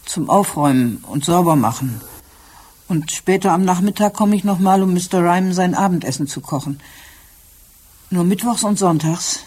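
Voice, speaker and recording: An actress playing a shaken, upset housekeeper who speaks haltingly in a German radio crime drama. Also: female voice, on the low side, a little mellow, breathy edge. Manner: halting, upset, repeats herself. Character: distraught, shaken housekeeper who found her employer dead.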